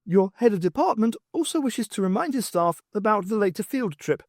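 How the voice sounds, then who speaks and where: A man's voice: sits high, lively intonation, brisk, no echo, studio quality, voice pushed up. A man voicing a hovering robot porter in an audiobook, speaking in a formal, precise, deferential servant's manner. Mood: prim, deferential. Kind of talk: audiobook character voice.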